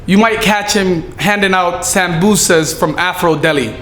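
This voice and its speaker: A man giving a prepared speech at a community event, delivered earnestly and measured, with some halting fillers. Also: high-pitched, a bit bright, faint music behind. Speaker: city staffer giving a speech. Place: event hall. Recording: echoey room with faint ambience.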